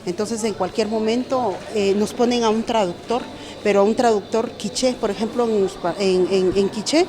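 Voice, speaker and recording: A woman's voice: mid-range voice, fairly even intonation, moderate pace. Guatemalan woman, uspanteko first language, earnest, crowd noise. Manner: earnest, with restarts.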